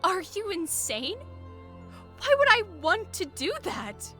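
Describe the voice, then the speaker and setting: A woman's voice: very high voice, sing-song, takes it slowly, sharp tone, heavily acted. A woman playing a blunt, self-doubting female warrior in a scripted audio roleplay, informal and questioning. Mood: alarmed disbelief. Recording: music behind the voice. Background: background music.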